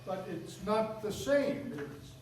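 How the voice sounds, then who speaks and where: A man playing an anxious, repentant old miser in a radio play, speaking haltingly and repeating himself as he pleads. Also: sits high, sing-song, darkish tone, a little hiss or hum, heavily acted. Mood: fearful, earnest. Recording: echoey room, distant mic, faint music.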